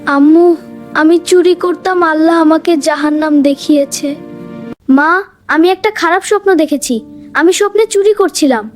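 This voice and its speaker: A woman's voice: very high-pitched, moderate pace. A woman voicing an earnest young boy in a moral cartoon, dramatic and repentant. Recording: music behind the voice.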